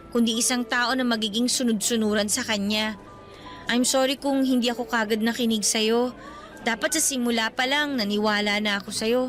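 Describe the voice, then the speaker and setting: A woman's voice: fairly high pitch, close to an everyday voice. A woman voicing a young female student who pleads emotionally in a radio drama. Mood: remorseful. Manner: emotional, pleading, rising to shouts.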